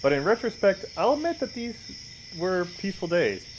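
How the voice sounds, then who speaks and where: A man's voice: sits high, very animated, moderate pace, a little hiss or hum, low-quality recording. A man casually commenting on a game and reading its text aloud, with wry, sarcastic reactions. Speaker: video game streamer.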